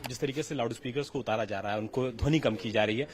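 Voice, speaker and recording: A male reporter asks an unscripted interview question at an extremely rapid pace. His voice is mid-pitched, and music plays behind it.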